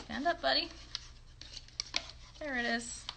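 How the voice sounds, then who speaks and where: A woman's voice: sits high, slow pace, vocal fry, background noise. A woman in her thirties casually hosting a children's craft demonstration, encouraging young viewers with questions. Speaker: children's puppet show host. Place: bedroom at home. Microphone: phone at about arm's length.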